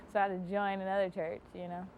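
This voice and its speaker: A woman's voice: mid-range voice, steady tone, normal speed, darkish tone, slight background noise. A young American woman talking candidly about her own life in an interview. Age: young adult. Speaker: interviewee, former foster youth. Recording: music bed under the voice.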